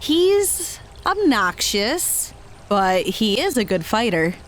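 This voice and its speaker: Femme jouant une jeune aventurière, voix aiguë chantante. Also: female voice, fairly high pitch, slow pace. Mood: sheepish, impressed. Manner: casual, hesitant, expressive. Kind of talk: audio drama acting. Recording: faint background noise.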